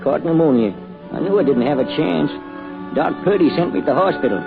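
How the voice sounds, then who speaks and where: High, folksy old American grandfather. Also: lively intonation, a little fast, vocal fry. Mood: reminiscing. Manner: folksy homespun turns of phrase, chuckling. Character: folksy, spirited, affectionate small-town grandfather and druggist. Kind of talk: old-time American radio drama acting. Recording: tinny vintage radio recording with music.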